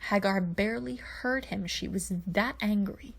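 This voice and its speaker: A woman's voice: mid-range voice, unhurried, creaky. A woman reading scripted story narration as the narrator of fan fiction. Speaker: podfic narrator.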